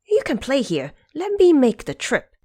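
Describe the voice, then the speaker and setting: A woman's voice: high-pitched, huge pitch swings, moderate pace, mellow, breathy edge, pitched above the performer's own voice, full character voice. A woman voicing a bold, scheming male Monkey King with a confident, commanding manner in an audio drama.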